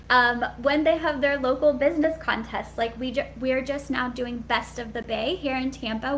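A woman's voice: sits high, fairly bright tone, slight background noise. A woman with a sweet voice giving a live coaching talk to hairstylists, candid and chatty with lots of "like" fillers.

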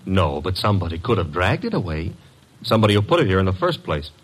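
An actor playing a young, cheeky detective's assistant in an old radio drama, flippant, slangy and streetwise. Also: male voice, low voice, very animated, quick pace, slightly rough, full character voice, rough recording. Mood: startled, insistent.

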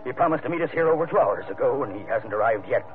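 A man's voice: medium pitch, expressive, rapid-fire, phone-quality sound, natural voice. An actor playing an anxious, polite middle-aged American father in an earnest, formal 1940s radio drama style. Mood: distraught, pleading. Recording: thin vintage radio broadcast with music.

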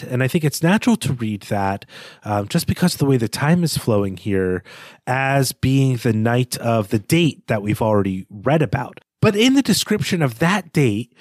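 A man's voice: medium pitch, very animated, measured pace, a little mellow, no room sound, clean studio sound. A man co-hosting a podcast, talking through a literary analysis in a thoughtful, hesitant, conversational way. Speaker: podcast co-host.

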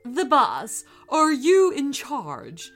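A woman voicing a cheery, bubbly female triceratops who giggles and talks loudly. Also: high-pitched, wildly swooping pitch, very slow, soft background music, voice pushed up, heavily acted.

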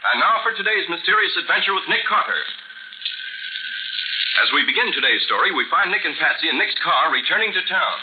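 A man's voice: a little high, animated, a little fast. A man announcing in emphatic, salesmanlike 1940s radio style, on a noisy, tinny old recording.

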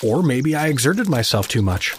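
An actor playing a friendly, hapless middle-aged American dad from South Dakota, chummy and rambling, in a comedic fantasy audio drama. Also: male voice, mid-range voice, big pitch swings, normal speed, a little hiss or hum, natural voice. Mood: puzzled.

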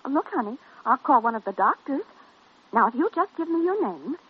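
An actress playing a brisk, exasperated hospital switchboard operator in 1940s radio drama style. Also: high voice, big pitch swings, slightly rough, heavily acted. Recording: lo-fi old radio recording, faint noise.

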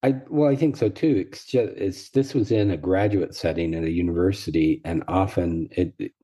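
A middle-aged man, a psychologist, talking in a thoughtful, measured way with a voice hoarse from a cold. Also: deep voice, mellow. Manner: thoughtful, measured, self-correcting. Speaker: psychologist, podcast co-host. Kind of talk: casual conversation.

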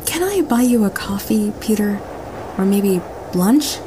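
A woman's voice: mid-range voice, expressive, measured pace, background noise, close to an everyday voice. A woman playing a friendly, forward young woman in an audio drama, speaking in a direct manner. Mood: friendly, grateful.

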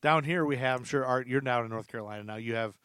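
A man hosting a podcast in casual, chatty, loosely phrased talk, quick to laugh. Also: medium pitch, a bit bright, clean tone, no room sound, studio quality. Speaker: podcast host.

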